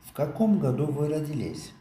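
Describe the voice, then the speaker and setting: A man teaching a phrasebook lesson, saying each phrase twice slowly and then translating it. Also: on the high side, big pitch swings, warm tone, roomy sound. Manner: slow, careful repetition of each phrase. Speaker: language teacher.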